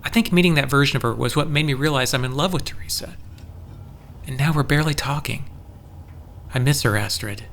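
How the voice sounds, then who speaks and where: A man's voice: mid-range voice, a little fast, creaky, pauses often, fairly bright tone, slight background noise. A man playing an earnest, troubled, lovelorn farm resident in an audio drama, speaking confidingly. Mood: troubled, regretful. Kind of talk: scripted audio drama acting.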